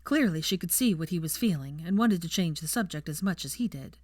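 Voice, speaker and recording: A woman's voice: lowish voice, lively intonation, brisk, a little mellow, dry recording, studio quality. A woman reading fiction aloud as scripted audiobook-style narration.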